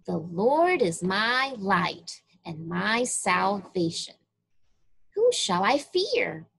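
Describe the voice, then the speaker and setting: A woman reading a story aloud to young children in a deliberate, expressive way, addressing them directly. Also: mid-range voice, vocal fry, slightly rough. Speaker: children's story reader at a church.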